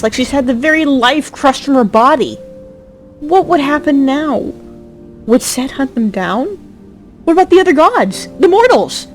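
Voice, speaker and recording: A woman delivering scripted story narration in an emotive, theatrical way. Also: high voice. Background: music.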